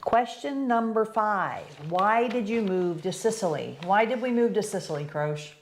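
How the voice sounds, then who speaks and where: A woman's voice: mid-range voice, a little slow, slight background noise. An older American woman co-hosting a casual question-and-answer chat, upbeat and chatty as she leads the questions. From United States. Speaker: co-host.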